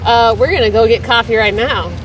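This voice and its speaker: A woman's voice: fairly high pitch, a little fast, recorded from a distance, a little hiss or hum, rough recording. A woman from Texas hosting a weekly livestream, chatting casually and rambling between viewer comments. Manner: chatty and rambling, laughs easily.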